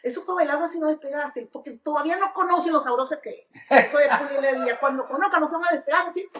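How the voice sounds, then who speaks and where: A woman from Venezuela, a dance educator and DJ, telling stories in a lively, emphatic podcast chat. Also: high-pitched, racing, roomy sound, a little hiss or hum. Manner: lively, emphatic storytelling with sung snippets. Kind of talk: casual podcast conversation.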